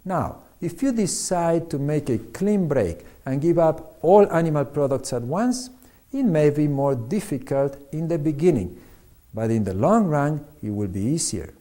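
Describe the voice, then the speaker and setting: A man reads a prepared talk on eating well. His voice sits a little high for a man, but it is mellow and warm in tone. He speaks in a steady, instructive manner. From Argentina. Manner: measured, instructive. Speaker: doctor giving diet advice.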